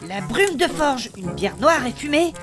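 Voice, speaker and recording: A woman voicing a composed, well-travelled adventurer in a fantasy comedy audio drama, informative and gently teasing. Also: high-pitched, sing-song, measured pace. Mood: recounting. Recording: music behind the voice.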